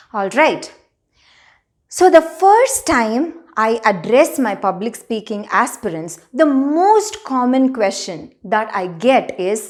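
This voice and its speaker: A woman working as a public speaking trainer, giving a video lesson in an emphatic, teacherly way and counting out her points one by one. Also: on the high side. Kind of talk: scripted video lesson to camera.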